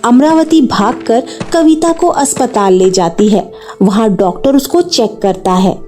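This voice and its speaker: Lady narrating a kids story, highish mellow voice, slow, faint music under it. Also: expressive. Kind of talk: scripted Hindi children's story narration.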